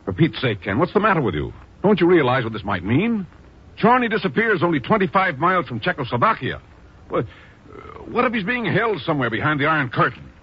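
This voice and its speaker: An actor playing an earnest, worried American intelligence chief in a 1950s radio drama, gruff and urgent, on a muffled, noisy old recording. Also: male voice, fairly high pitch, very animated, fairly quick, heavily acted. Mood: agitated.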